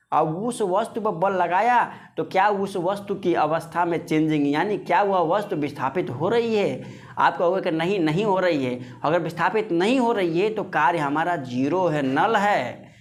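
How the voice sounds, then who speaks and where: A man giving an informal physics lesson, repeating phrases and posing questions that he answers himself, addressing listeners as friends. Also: fairly high pitch. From India. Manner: conversational, repeats phrases, poses and answers his own questions. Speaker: physics teacher.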